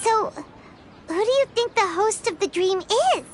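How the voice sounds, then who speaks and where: A woman's voice: extremely high pitch, expressive, a little hiss or hum. An actress voicing a small, chirpy and pushy girl companion in a video game. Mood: curious. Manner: chirpy, pushy, quick to comment. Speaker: game character. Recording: game audio with background music.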